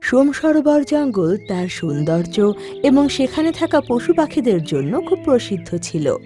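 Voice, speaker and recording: A woman narrating an animated children's story in an expressive, dramatic storytelling manner. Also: a little high, normal speed, soft background music.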